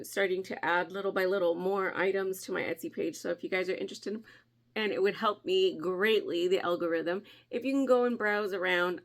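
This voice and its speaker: Brief: chatty woman vlogger, nasal with a cold, gravelly edge, mid pitch. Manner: chatty, friendly, often laughing. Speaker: crafting channel host. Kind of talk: casual vlog chat.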